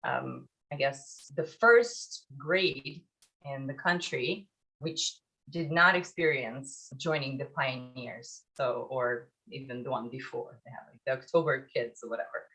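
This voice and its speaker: Low voiced woman from ukraine doing a podcast interview, chatty storytelling, thinks as she goes. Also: a little slow, pushed and bright, low-quality recording. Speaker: podcast guest, startup founder.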